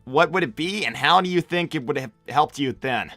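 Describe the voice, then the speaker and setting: A man's voice: on the high side, moderate pace, pushed and bright, no room sound, soft background music. A man voicing a casual, agreeable young male hedgehog hero in improvised cartoon roleplay. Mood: reading calmly.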